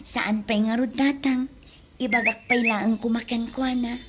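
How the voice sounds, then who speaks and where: Woman acting high-voiced Ilocano grown child. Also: steady tone, normal speed, a little hiss or hum, close to an everyday voice. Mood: respectful. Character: grown child courting a sweetheart from the city. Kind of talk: Ilocano radio comedy drama acting.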